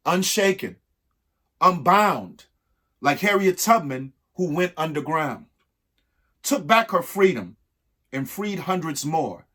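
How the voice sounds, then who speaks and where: A man reading a children's picture book aloud in a theatrical, emphatic way, with dramatic pauses and asides to young listeners. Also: a little high, bright, forceful tone.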